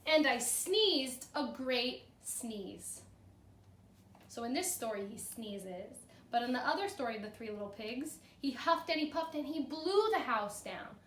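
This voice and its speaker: A woman teacher explaining a story to children in an animated way, often checking understanding with short questions. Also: fairly high pitch, normal speed. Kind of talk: explanatory read-aloud commentary for children. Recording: roomy echo, far from mic, faint noise.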